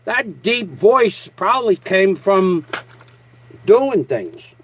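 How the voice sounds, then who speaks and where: A man's voice: high-pitched, big pitch swings, extremely slow, very noisy. A man live streaming casually to his viewers, talking in a combative, boastful, foul-mouthed way. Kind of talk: casual livestream rant to a viewer.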